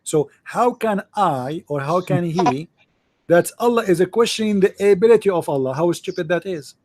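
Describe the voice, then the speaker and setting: A man's voice: high voice, expressive, moderate pace, darkish tone, slight background noise. Arabic-accented man, emphatic debater. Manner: emphatic, repetitive, non-standard English grammar. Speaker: call-in show host. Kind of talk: argumentative religious debate.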